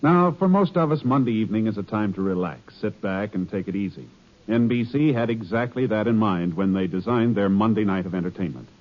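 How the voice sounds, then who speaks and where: An American man working as a 1950s radio network announcer, reading scripted copy in a polished, formal, measured promotional delivery. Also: lowish voice. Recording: dull 1950s radio recording, faint music.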